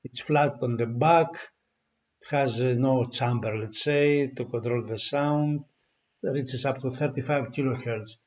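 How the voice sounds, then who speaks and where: Male gear reviewer, unscripted walkthrough, halting delivery, mid pitch, dull lo-fi sound. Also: a little mellow. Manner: halting, many fillers and restarts. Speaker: audio product reviewer.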